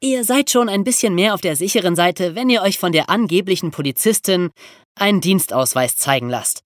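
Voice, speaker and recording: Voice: medium pitch, animated, a little fast, dry recording. Podcast co-host in a dry studio sound. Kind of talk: conversational podcast talk for young listeners.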